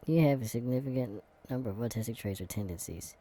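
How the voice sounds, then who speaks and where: Sarcastic man with a mellow voice. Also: mid-range voice, fast talker. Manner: sarcastic, deadpan, with dark comic rants; reads quiz text aloud, stumbling and muttering. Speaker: vlogger. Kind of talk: casual commentary while taking an online quiz.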